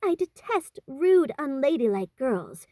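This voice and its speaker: A woman's voice: high-pitched, sing-song, unhurried, warm tone, dry recording, studio quality, pitched above the performer's own voice, exaggerated voice. A woman voicing a fussy, proper young girl in a storybook, pert and full of wounded dignity. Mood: reproving. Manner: pert, indignant, affectedly prim.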